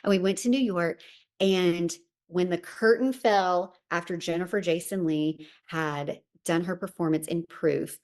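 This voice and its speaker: A woman's voice: fairly low pitch, steady tone, measured pace. A woman from Tennessee with a Southern accent, telling her story as a podcast guest in a chatty, friendly way. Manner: chatty, warm storytelling with fillers. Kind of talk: casual interview chat.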